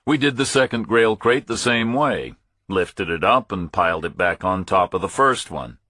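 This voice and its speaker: Low husky guy reading a thriller audiobook, hard-boiled, very dry close recording. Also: lively intonation, moderate pace, pushed and bright, no room sound. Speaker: audiobook narrator. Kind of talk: scripted first-person thriller narration.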